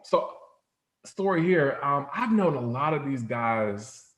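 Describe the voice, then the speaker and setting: An echoey recording of a man speaking as a guest in a casual interview. His voice sits at an ordinary middle pitch. He tells things loosely and informally, wandering through his account. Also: animated, takes it slowly. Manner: informal, rambling storytelling with frequent "like". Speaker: interview guest, comics company founder.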